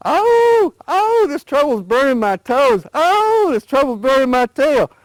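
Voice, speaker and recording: A gullible, eager alligator from a spoken folk tale, his voice leaping high and full of wonder, as a storyteller puts him on. The delivery is dry and close. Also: moderate pace, gravelly, dry recording, heavily acted, poor audio. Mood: yelping in pain. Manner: excited, high-pitched and wondering.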